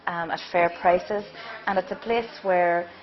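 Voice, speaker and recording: A woman's voice: fairly low pitch, fairly even intonation, measured pace, dull audio. A woman, praising a local cafe with enthusiasm and frequent ums. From Derry. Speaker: interviewee. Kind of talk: documentary interview.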